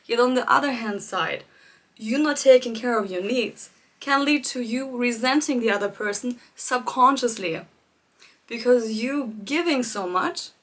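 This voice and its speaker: A woman coaching viewers in a live-streamed talk, speaking gently and reflectively, addressing them directly and laughing lightly between her points. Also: fairly high pitch. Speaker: life coach hosting a live challenge.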